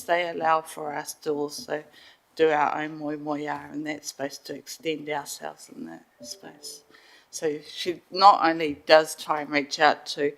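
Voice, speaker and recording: A woman's voice: sits low, fairly bright tone, poor audio. A New health worker, a woman, speaking up eagerly at a council meeting in support of her employer, with some stumbles.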